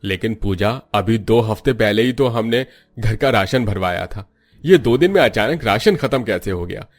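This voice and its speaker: A man's voice: on the low side, animated, normal speed. A man voicing a family man in a cartoon drama, speaking expressively and dramatically. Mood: worried.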